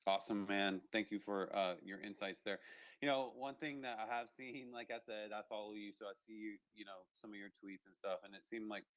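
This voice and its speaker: A man's voice: on the low side, fairly even intonation, fairly quick, a bit bright, no room sound. A man hosting a live online audio chat, upbeat and casual, thanking speakers and prompting questions. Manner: upbeat, many fillers and restarts while hosting. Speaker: host.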